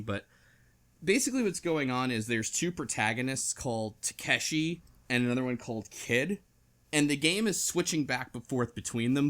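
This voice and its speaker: A man's voice: mid-range voice, fairly bright tone. A man hosting a casual book club podcast, talking in a rambling, self-correcting way. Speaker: podcast host.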